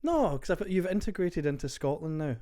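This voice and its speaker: A man's voice: a little high, sing-song, fairly quick, mellow. A man co-hosting a comedy podcast, trading dry, teasing banter with his co-host. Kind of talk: casual comedy podcast chat.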